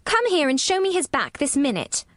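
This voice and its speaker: A woman's voice: sits high, very animated, moderate pace, fairly bright tone, no room sound, studio quality, pitched above the performer's own voice. A woman voicing a fierce, bossy little girl in a storybook reading, scolding and commanding.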